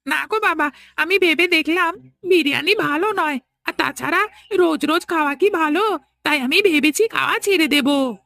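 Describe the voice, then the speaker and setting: A woman plays a young village boy in a horror cartoon. The voice is very high and bright, childlike, anxious and pleading. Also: moderate pace, gravelly, full character voice. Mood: evasive, anxious. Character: young village son, pleading and craving biryani.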